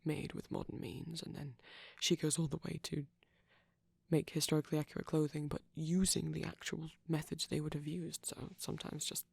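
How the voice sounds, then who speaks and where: Man playing a breathy, shy vampire boyfriend. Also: a little high, level tone, moderate pace, no echo, clean studio sound, full character voice. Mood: softly enthusiastic, hesitant. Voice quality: soft, breathy, husky, often near a whisper. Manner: hesitant, bashful, rambling tenderly. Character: shy, gentle young male vampire boyfriend. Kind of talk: intimate ASMR boyfriend roleplay.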